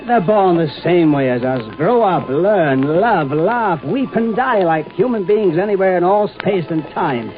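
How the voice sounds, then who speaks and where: An actor playing an earnest, idealistic professor driven to desperation in a 1950s radio drama, arguing intensely. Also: male voice, high-pitched, lively intonation, a little slow, hardly any pauses, soft background music. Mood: earnest, pleading. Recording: muffled old radio recording.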